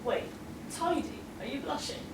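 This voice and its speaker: Radio play pony newcomer, acted by a woman: youthful, inquisitive, probing, higher-pitched, noisy convention room sound. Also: animated, normal speed, roomy sound, recorded from a distance. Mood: teasing. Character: earnest, inquisitive young female pony newcomer.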